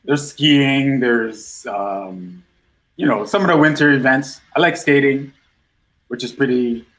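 A middle-aged man from Guyana chatting casually as a guest on a talk show, with a medium-pitched voice. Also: slow pace, a bit bright. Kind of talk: casual chat.

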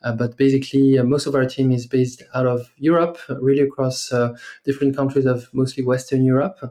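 A man in his thirties from France with a French accent, a podcast guest speaking in a measured way with many fillers and pauses. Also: mid-range voice, flat delivery, mellow. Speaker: guest, startup investor. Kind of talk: podcast interview.

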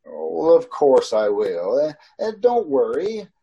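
Haunted male driver, thirties, played by a man, dark husky voice, anxious old-time radio drama delivery. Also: fairly high pitch, very animated, slow, muffled recording, exaggerated voice. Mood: cheerful, reassuring. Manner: urgent, dramatic first-person narration.

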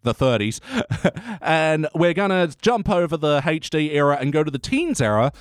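A man hosting a casual, jokey review podcast in an animated way. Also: fairly high pitch, moderate pace, dry recording, studio quality. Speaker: podcast host. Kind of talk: casual comedic review chat.

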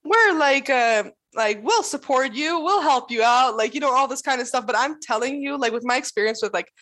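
A woman chatting casually in an interview, talking in quick bursts full of "like" and laughing often. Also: sits high, animated, fairly bright tone. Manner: chatty, fills speech with "like". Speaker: interviewee, new employee. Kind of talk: casual conversation.

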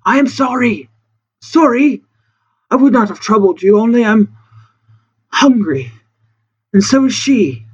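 A narrator voicing a desperate, starving old castaway man who pleads haltingly in formal, old-fashioned words. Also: mid-range voice, slightly rough, dull audio, voice pushed up, close to an everyday voice. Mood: halting, apologetic.

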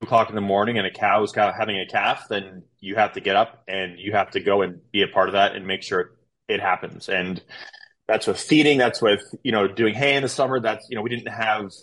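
A man's voice: fairly low pitch, steady tone, fairly quick, a bit bright, low-quality recording. A man in his thirties, a podcast guest telling his story in a casual, rambling way with lots of fillers and restarts. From Canada.

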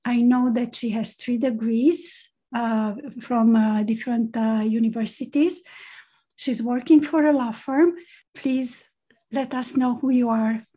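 A woman's voice: on the high side, fairly even intonation, a little slow, dark tone, breathy edge. A woman hosting a podcast, introducing her guest in a chatty, effusive way. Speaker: podcast host. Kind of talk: casual interview introduction.

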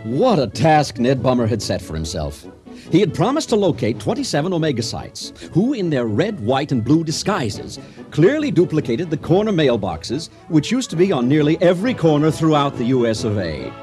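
An actor playing a pompous, effusive storyteller and ceremony announcer in a mock-dramatic radio play. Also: male voice, a little high, wildly swooping pitch, moderate pace, darkish tone, soft background music. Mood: mock solemn. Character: grandiose, excitable male storyteller and ceremony announcer.

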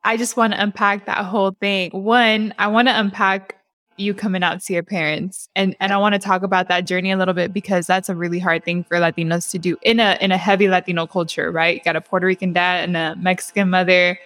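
A woman's voice: fairly low pitch, little pitch movement, fast talker, a bit bright. A woman hosting a conversational interview, enthusiastic and casual, asking a guest to open up. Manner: enthusiastic, uses casual slang. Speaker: host.

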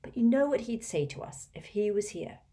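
A woman's voice: medium pitch, lively intonation, brisk, warm tone, voice pushed up, lightly acted. A woman voicing a wry, blunt teenage boy wizard, speaking in a dry way.

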